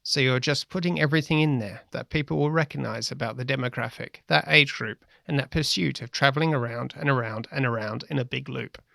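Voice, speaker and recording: Gravelly man telling stories. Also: medium pitch, brisk, few pauses, bright, forceful tone, no room sound, studio quality. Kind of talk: reading quoted first-person anecdotes.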